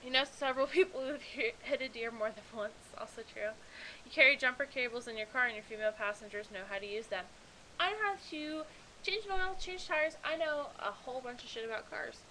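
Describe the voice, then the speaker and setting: A woman's voice: high-pitched, a little fast, sharp tone, poor audio. A young woman from Pennsylvania with a Pennsylvania accent, chatting casually as a vlogger and reading a list aloud with lots of "like" fillers. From Pennsylvania, USA. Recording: faint hiss.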